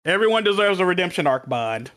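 A man's voice: sits high, big pitch swings, quick pace, crowd noise. A man co-hosting a podcast in casual, chatty banter. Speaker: podcast co-host.

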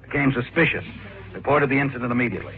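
An actor playing a brisk, skeptical male police lieutenant, matter-of-fact and authoritative, in 1940s radio drama style. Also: medium pitch, natural voice. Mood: stern. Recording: tinny old radio recording.

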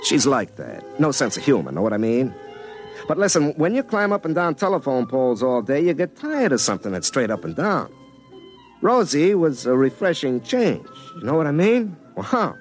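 A man's voice: on the high side, big pitch swings, moderate pace, soft background music. An actor playing a folksy, wisecracking young lineman who rambles colloquially, in the style of old radio comedy. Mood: wry, chatty. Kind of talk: 1950s radio comedy acting.

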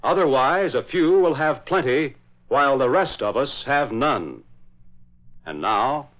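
A man's voice: mid-range voice, animated, measured pace. A man announcing and narrating in formal, emphatic, dramatic 1940s radio style. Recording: dull vintage radio recording.

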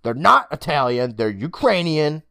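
Bold, bossy girl, brisk and lively, voiced by the reader. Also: medium pitch, gravelly, no room sound, clean studio sound, voice pushed up, full character voice.

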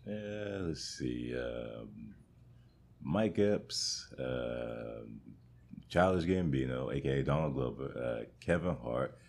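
A young man with a booming, extremely deep voice answers questions on a casual podcast. He pauses often and hunts for words with plenty of ums and uhs. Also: lively intonation, darkish tone. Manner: hesitant, searching for words with many fillers. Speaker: comedian guest.

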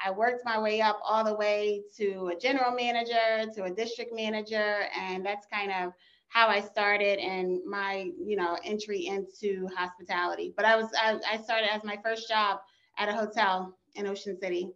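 A woman's voice: mid-range voice, little pitch movement, normal speed, a bit bright. Upbeat woman guest on a lo-fi line. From Eastern Shore of Maryland. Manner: upbeat, many "um" fillers. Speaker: guest, business owner. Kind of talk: casual interview. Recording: slightly dull, lo-fi line.

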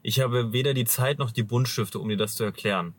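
A man's voice: mid-range voice, fairly quick. A young man hosting a casual podcast from a parked car, teasing his guest and swearing freely. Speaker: podcast host.

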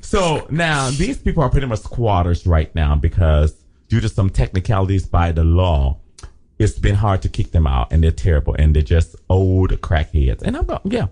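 Male podcast co-host, sassy gossip, lively swoops in pitch. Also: moderate pace. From Liberia. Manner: animated, sassy storytelling. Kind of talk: casual gossip chat.

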